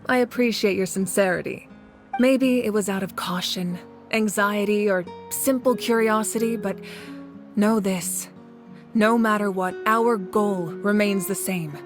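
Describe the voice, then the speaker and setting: A woman acts a female warrior leader in a dramatic video game. She is composed and caring, speaking with measured authority at a middle pitch. Music plays clearly behind her earnest, resolute delivery. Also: close to an everyday voice. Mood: calm, sincere.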